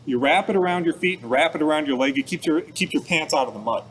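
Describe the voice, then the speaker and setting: A man's voice: a little high, quick pace, a bit bright, slight background noise, poor audio. A man giving an informal, plain-worded explanatory talk for children, like a museum expert. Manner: casual, plain-worded explanations with everyday comparisons.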